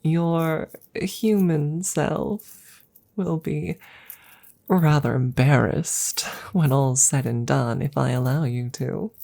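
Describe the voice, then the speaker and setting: A woman playing a wry, affectionate witch soothing her familiar in an intimate ASMR audio roleplay, soft and gently teasing, often near a whisper. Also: low-pitched, slow pace, vocal fry, full character voice. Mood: amused, coaxing.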